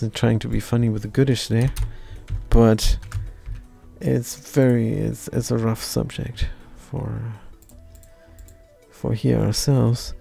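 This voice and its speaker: Gravelly German man muttering while coding. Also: medium pitch, dark tone. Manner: self-deprecating, wry, mutters half-finished lines. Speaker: live-streaming coder. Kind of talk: thinking aloud while coding, chatting with viewers.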